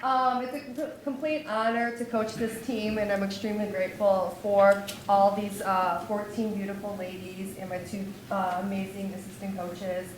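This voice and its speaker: A woman giving a halting, impromptu thank-you speech as a coach, without a microphone. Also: medium pitch, flat delivery. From United States. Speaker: high school dance coach. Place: indoor meeting room. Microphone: speaking without a microphone, picked up from a distance. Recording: echoey room, off mic, noisy background.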